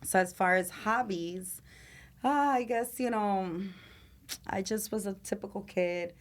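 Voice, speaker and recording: A woman's voice: mid-range voice, measured pace. A woman, a guest talking candidly in a casual interview, laughing often and using many fillers. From Venezuela. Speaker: guest, nightlife promoter.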